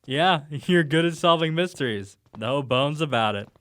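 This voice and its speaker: A man's voice: fairly high pitch, expressive, moderate pace, fairly bright tone. A man playing a candid, good-humoured young detective's sidekick in an audio drama. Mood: amused, praising.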